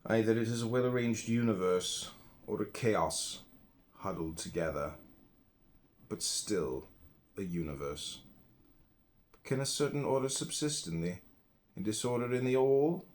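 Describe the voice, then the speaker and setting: A man's voice: on the low side, animated, a little slow, long pauses, darkish tone, slight background noise. A man reading a philosophy audiobook, stressing key words and phrases with dramatic emphasis. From United States. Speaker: audiobook reader.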